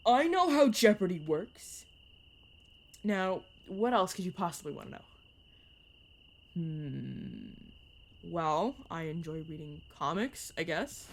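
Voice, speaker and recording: A woman voice actor playing a playful, sarcastic young vampire mentor who chats and teases in an audio roleplay. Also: lowish voice. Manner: teasing, sarcastic, chatty. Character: playful, teasing vampire mentor, turned at nineteen. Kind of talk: narrative audio roleplay, one-sided conversation.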